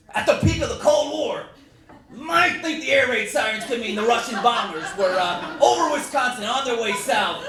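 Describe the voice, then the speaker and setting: A man's voice: very high voice, sharp tone, exaggerated voice. A man playing a jovial, boastful baseball team owner in a folksy first-person dramatic reading. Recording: echoey room from far off, faint noise.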